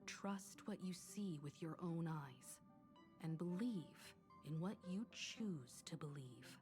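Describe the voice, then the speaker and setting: Composed, low-voiced woman mentor over music. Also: lively intonation. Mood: earnest, guiding. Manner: measured, expository, solemn. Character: composed adult woman mentor, knowledgeable, gently serious. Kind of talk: scripted game story dialogue. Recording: loud background music. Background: game music.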